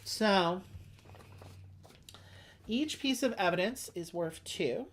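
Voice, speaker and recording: A woman's voice: mid-range voice, expressive, takes it slowly. A woman hosting a board game playthrough with casual commentary and a wry, bawdy sense of humour.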